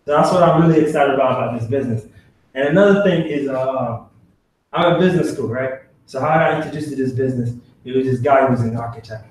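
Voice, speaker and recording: A man's voice: mid-range voice, fast talker. A young man, a grad student, speaking animatedly at a business meeting, laughing and often asking "right?". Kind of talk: testimonial talk at a business meeting. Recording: echoey hall from far off.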